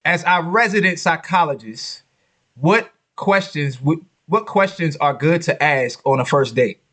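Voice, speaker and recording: High-voiced young man hosting. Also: lively intonation, measured pace, a bit bright. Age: 20s. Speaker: host. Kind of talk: casual podcast chat.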